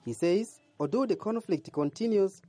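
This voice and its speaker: A man narrating a scripted radio news report in an even, measured way. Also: sits high, no echo.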